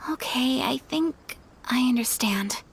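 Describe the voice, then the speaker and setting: A woman voicing a polite, gentle, earnest young noblewoman in a video game, speaking in a courteous, hesitant way. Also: high-pitched, slow, faint music behind. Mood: earnest, shy. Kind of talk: video game character dialogue.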